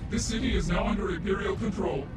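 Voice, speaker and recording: A man's voice: high voice, quick pace, music in the background, close to an everyday voice. A man voicing a cold, commanding war droid in an audio drama, speaking in terse, mechanical announcements. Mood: menacing. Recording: distant, echoing, under loud score and effects.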